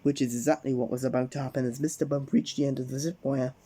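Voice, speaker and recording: Dark-voiced man reading a bedtime story. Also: mid-range voice, little pitch movement, quick pace, breathy edge. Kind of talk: children's storybook narration.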